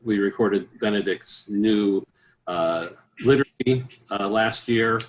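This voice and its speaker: A man giving relaxed, easygoing interview answers as a guest choral bass singer, with frequent restarts and filler sounds. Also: slow, a little hiss or hum, low-quality recording. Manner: easygoing, many restarts and "uh"s, chuckles at his own stories.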